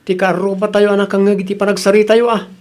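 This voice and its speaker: A Filipino mother acted by a woman speaking at a middling pitch in an Ilocano comedy radio drama. She admonishes and corrects her grown child. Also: level tone, quick pace, darkish tone, close to an everyday voice. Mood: admonishing.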